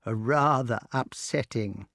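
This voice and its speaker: Storybook goat read by a narrator, slow, calm, reluctant, measured, dry-witted. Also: medium pitch, no room sound.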